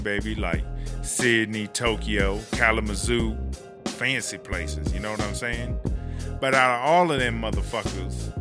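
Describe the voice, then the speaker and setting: A man's voice: mid-range voice, close to an everyday voice. An actor playing a brash, proud woman prison inmate who tells stories in swaggering street slang for a comedy audio drama.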